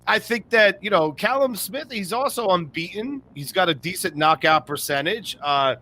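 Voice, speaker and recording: A man co-hosting a casual sports podcast, talking in an animated way and laughing often. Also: sits high, slight background noise. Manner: animated, laughs often, breaks into quick impressions. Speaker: podcast co-host.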